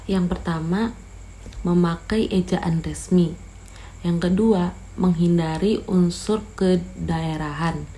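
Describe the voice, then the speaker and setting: A woman's voice: on the low side, steady tone, slow, dark tone. A young woman student reading out a class presentation, going steadily through a numbered list. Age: young adult.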